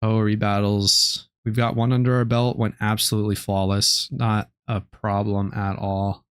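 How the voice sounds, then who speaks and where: A young man streaming a game live, chatting casually in a low-key, relaxed way with many ums and restarts. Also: normal speed, smooth, no room sound, studio quality. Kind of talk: casual live-stream chat while gaming.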